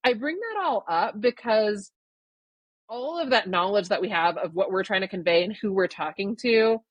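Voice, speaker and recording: A woman from Canada, a guest author answering podcast interview questions in a thoughtful, measured way that qualifies her claims. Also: mid-range voice, expressive, slightly rough, no room sound, dull audio.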